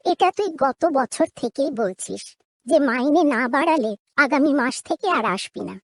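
A firm, unyielding mistress of a household in a cartoon drama, played by a woman. She speaks with fond familiarity in a very high voice, close and dry. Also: lively intonation, moderate pace, no room sound. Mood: knowing, stubborn. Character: stubborn but fond lady of the house.

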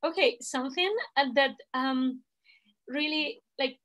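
High, slow-talking Spanish-accented woman. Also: steady tone, a bit bright. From Spain. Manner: hesitant, many fillers, laughs easily. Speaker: guest language assistant. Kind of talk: casual video call chat. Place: indoor room at home. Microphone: laptop webcam video call.

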